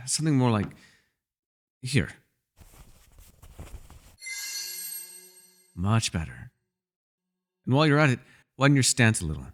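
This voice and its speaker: A man playing a mysterious elf mage in a fantasy ASMR roleplay, a patient mentor who muses wryly in formal, old-fashioned phrasing. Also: lowish voice. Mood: patient, instructing. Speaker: voice actor.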